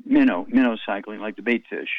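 A man hosting a radio health call-in show, telling rambling stories and firing brisk questions at callers. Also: lowish voice, no room sound, phone-quality sound.

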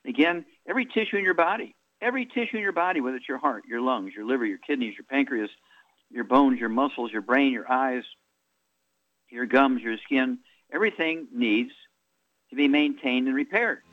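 A man of eighty hosting a radio talk show, pitching products in a rambling, repetitive way with many fillers. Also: expressive, fairly quick, phone-quality sound. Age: 80s. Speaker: radio host.

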